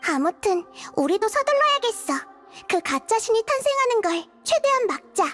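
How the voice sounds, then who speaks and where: Game role: a wise, gentle childlike goddess, tiny very high voice, reflective and earnest, music behind. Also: female voice, very animated, moderate pace, exaggerated voice. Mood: resolved, thoughtful. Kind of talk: video game character dialogue.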